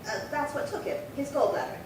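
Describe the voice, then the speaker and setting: A woman's voice: a little fast, lightly acted. Woman acting a fussy old lady, echoey hall. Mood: reminiscing. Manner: rambling, fussy, indignant recollections. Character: chatty, opinionated elderly woman tenant, long-time resident. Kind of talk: old-time radio crime drama acting. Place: live theatre stage reading. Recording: echoey hall, far-off mic, noisy rumble.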